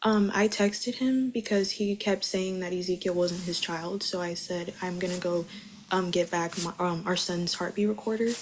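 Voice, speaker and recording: A woman's voice: fairly low pitch, steady tone, moderate pace, a little hiss or hum, low-quality recording. A young woman giving testimony as a witness in a courtroom, speaking in casual, informal wording.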